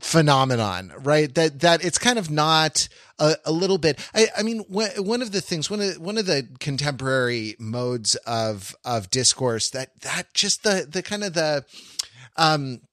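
A man speaks as a podcast co-host in loose, analytical conversation. His slightly high voice comes in halting runs, repeating phrases as he searches for the word. The sound is dry, with little room echo. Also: animated, fairly bright tone. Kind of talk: casual analytical discussion.

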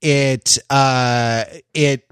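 An American man co-hosting a podcast in casual analytical discussion, wordy and intellectual, often restarting his sentences haltingly. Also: mid-range voice, fairly even intonation, a bit bright, smooth, no echo, studio quality. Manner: hesitant, halting delivery with repeated false starts. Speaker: podcast co-host.

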